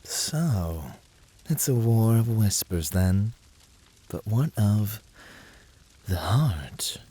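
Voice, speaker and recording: A man performs a flirty, passionate incubus for an ASMR roleplay. His low voice is husky and swoops freely as he teases. The delivery is unhurried, theatrical and flamboyant. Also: lively intonation, mellow, exaggerated voice. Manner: teasing, dramatic, flirtatious delivery.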